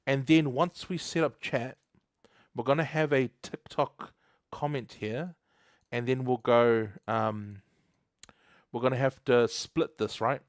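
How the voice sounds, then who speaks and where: Young kiwi dude live coding, chats with viewers a lot, dry close sound. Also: male voice, mid-range voice, fairly even intonation, slow, dry recording. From New Zealand. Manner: chatty, laughs often, reads chat aloud. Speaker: livestream host. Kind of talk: casual live coding stream.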